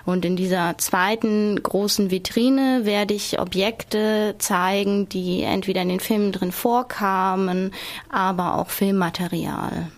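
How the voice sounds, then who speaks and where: A woman's voice: mid-range voice, steady tone, a little slow. A young woman as a radio interview guest, explaining things in an articulate, informative and orderly way. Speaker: interview guest, exhibition curator.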